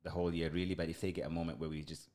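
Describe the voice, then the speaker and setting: A man's voice: deep voice, flat delivery, rapid-fire, darkish tone. A middle-aged man from Kenya with a Kenyan accent, a lawyer guest telling stories in a casual chat and laughing often. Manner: laid-back storyteller, laughs often.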